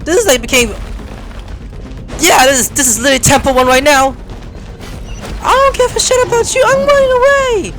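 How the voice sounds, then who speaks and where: A man's voice: very high voice, lively intonation, a little fast, long pauses, over music. A man hosting a gaming video with casual, slangy commentary, reacting aloud and talking to the game as he plays.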